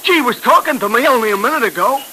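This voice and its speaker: An actor in a 1930s radio serial playing a flustered, frightened working-class taxi driver with slangy street talk. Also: male voice, very high-pitched, animated, racing, bright, forceful tone, heavily acted. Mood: anxious. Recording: noisy, crackly 1930s radio recording.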